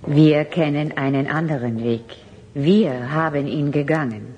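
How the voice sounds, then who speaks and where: A woman playing a calm, solemn transhuman emissary in a German radio drama, speaking in deliberate, formal, oddly stilted phrases. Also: sits low, slightly rough, heavily acted. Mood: solemn, earnest.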